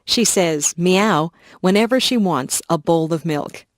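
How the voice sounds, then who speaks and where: A woman reading short scripted passages for English learners in a slow, clear, evenly paced voice. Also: lowish voice, no room sound, clean studio sound. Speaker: English lesson reader. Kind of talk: scripted narration of simple passages.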